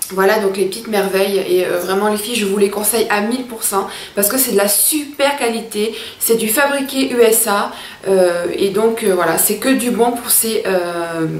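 A woman's voice: mid-range voice, echoey room, a little hiss or hum. A woman in her thirties chatting enthusiastically to camera about makeup in a room at home, talking to her viewers as girls. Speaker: beauty vlogger. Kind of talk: casual makeup review to camera. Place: small room at home, indoors.

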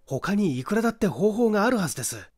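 A man's voice: high-pitched, sing-song, quick pace. A man voicing a confident, wounded young man in an audiobook, firmly protesting his innocence. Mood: firm denial. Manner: firm, protesting. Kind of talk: dramatic audiobook character acting.

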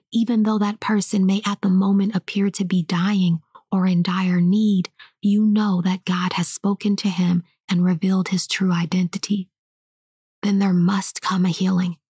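A woman whispering a slow guided meditation and spiritual teaching in a soft, hushed voice. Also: mid-range voice, breathy edge, dry recording, clean studio sound.

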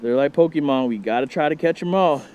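A man vlogging outdoors, chatty and excited, talking straight to his viewers. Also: a little high, fast, slight background noise. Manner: excited, chatty, keeps addressing viewers as guys. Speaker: vlogger hunting iguanas. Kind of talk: casual vlog narration to viewers. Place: outdoors in a neighborhood field.

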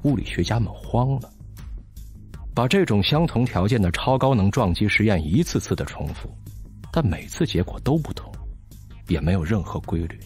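Bitter, theatrical physicist, wildly swinging low voice. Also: male voice, sing-song, normal speed, darkish tone, faint music behind. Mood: grave, explaining. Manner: theatrical, sardonic, lecturing. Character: bitter, brilliant, hard-drinking male theoretical physicist. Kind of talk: audio drama acting.

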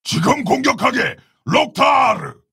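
An actor playing a proud, honorable orc warrior shaman in a video game, speaking in a gravelly, husky, solemn and commanding way. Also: very high-pitched, very animated, slow, pushed and bright, no echo, studio quality, exaggerated voice. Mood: urgent battle commands. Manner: solemn, commanding, archaic formal phrasing. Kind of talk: video game character battle lines.

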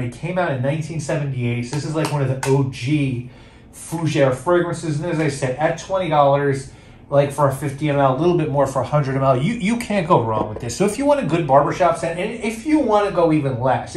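Chatty man reviewing scents, fairly high voice. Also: roomy sound. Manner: chatty, hesitant, many fillers and restarts. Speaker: fragrance reviewer. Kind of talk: casual product review.

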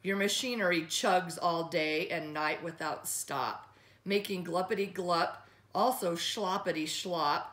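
A woman voicing a grumpy small male forest creature with a croaky, scolding manner, in an echoey room. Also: fairly high pitch, lively intonation, slow pace, fairly bright tone. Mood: indignant. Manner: scolding, indignant.

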